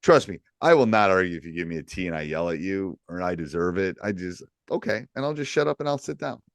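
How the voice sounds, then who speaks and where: Fifties coach guy, loud distinct voice, low, dry close mic, gets emphatic telling stories. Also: fast, no echo. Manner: animated, storytelling, emphatic. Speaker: podcast host and basketball coach. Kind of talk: casual podcast chat.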